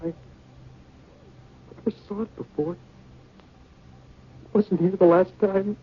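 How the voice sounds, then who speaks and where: A man's voice: high voice, fairly even intonation, slow pace, a little hiss or hum. An actor playing an eager, polite young grocery delivery boy with an earnest manner, in 1950s radio drama style. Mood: frightened. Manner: earnest, boyish. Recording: dull, band-limited 1950 radio recording.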